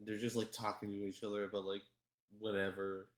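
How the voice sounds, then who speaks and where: A man with a fairly low voice hosts a casual gaming podcast. He rambles, circles back on his words and swears freely. The recording has a clearly roomy echo. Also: monotone, brisk. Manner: rambling, repetitive, heavy swearing and filler words. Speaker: podcast host. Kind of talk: casual group podcast chat about games and fandubbing.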